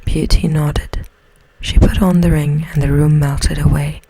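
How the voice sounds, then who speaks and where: A woman narrating an ASMR bedtime story in a gentle, slow, soothing, breathy whisper. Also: low-pitched, flat delivery, dry recording, low-quality recording.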